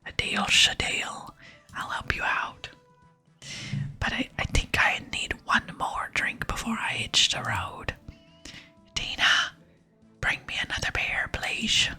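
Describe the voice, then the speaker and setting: A woman's voice: extremely low pitch, very animated, slow pace, long pauses, bright, forceful tone, husky, faint music behind, pitched below the performer's own voice, exaggerated voice. A woman voicing a cocky, beer-loving male explosives expert with a casual, sly manner in an ASMR game reading. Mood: muddled, casual.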